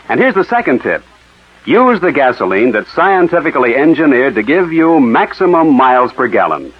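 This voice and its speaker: A man announcing and selling for a sponsor on 1940s radio, polished and emphatic. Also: mid-range voice. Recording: muffled, rough 1940s radio recording.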